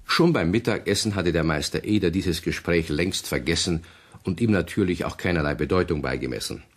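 A man narrating a children's radio play, telling the story in an expressive, measured way. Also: deep voice.

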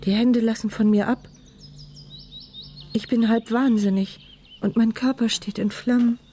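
A woman's voice: on the high side, fairly even intonation, measured pace, mellow, slight background noise, close to an everyday voice. An actress playing an anxious, wry paralysed woman who narrates her inner thoughts in a radio crime drama. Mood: frightened. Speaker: first-person narrator. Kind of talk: German radio crime drama, interior monologue.

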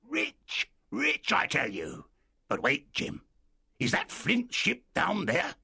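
A man voicing a wild, excitable old castaway who pleads eagerly in rising exclamations, with a raspy, gravelly voice. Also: fairly high pitch, takes it slowly, no room sound, clean studio sound, voice pushed up, heavily acted.

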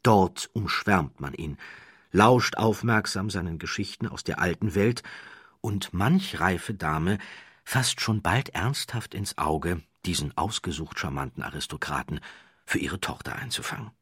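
A man reading a literary audiobook as a scripted narrator, with measured, deliberate phrasing and dramatic pauses. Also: low voice, expressive, fairly bright tone, slightly rough, no room sound, clean studio sound.

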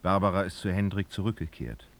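A man's voice: sits low, expressive, fairly quick. A man narrating a literary radio drama, reading expressively and dramatically.

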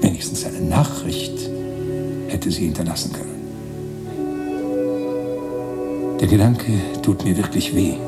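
Low-pitched male storyteller actor, brooding and reflective, measured literary radio play narration over prominent music. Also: close to an everyday voice. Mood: hurt, brooding. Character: brooding male narrator voicing passages of a novel.

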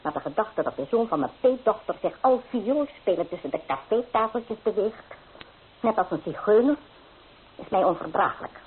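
A woman's voice: mid-range voice, lively intonation, moderate pace, natural voice. A woman playing a stern, haughty old grandmother in a radio play, speaking with blunt, dignified disapproval. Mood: indignant, haughty. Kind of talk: Dutch radio play acting. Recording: tinny old radio recording with hiss.

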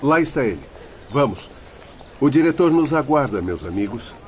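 An actor playing a learned, courteous doctor spirit guiding visitors, formal and explanatory, with a Brazilian accent, in a radio drama. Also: male voice, medium pitch, sing-song, normal speed, darkish tone, close to an everyday voice. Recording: muffled audio, faint background noise.

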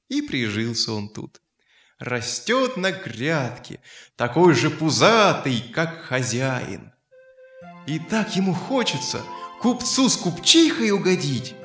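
A man tells a fairy tale to children in expressive narration. His voice is fairly high and swings widely in pitch. There is echo on it and light music behind. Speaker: storyteller.

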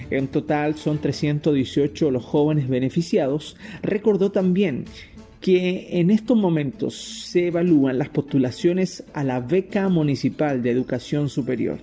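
Higher-pitched Chilean newsreader, soft-toned man. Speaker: municipal news reader. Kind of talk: scripted news narration.

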